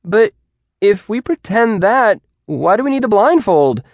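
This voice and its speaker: A man voicing a skeptical, literal-minded high school student who questions everything, in an audiobook. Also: big pitch swings, measured pace, dry recording, full character voice. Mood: puzzled, challenging. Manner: querulous, questioning. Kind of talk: audiobook character voice.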